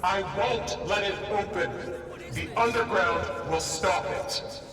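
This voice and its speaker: A man's voice: high-pitched, slow pace. A man playing a menacing, righteous masked rebel leader delivering a threat in a video game. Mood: grim, defiant. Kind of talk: video game villain acting. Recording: echoing broadcast, distant, music and crowd.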